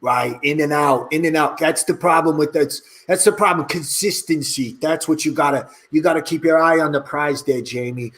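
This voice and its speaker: A man putting on a professional dialect coach from New York, hesitant and apologetic. He speaks in halting phrases and repeats himself, in a loose improvised comedy style. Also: on the high side, fairly bright tone, close to an everyday voice.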